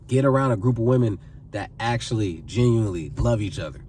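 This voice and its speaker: A man's voice: on the low side, lively intonation, brisk, a little mellow, recorded from a distance, a little hiss or hum. A man in his twenties giving earnest advice to camera, pleading and repeating phrases for emphasis. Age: 20s. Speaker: vlogger giving life advice.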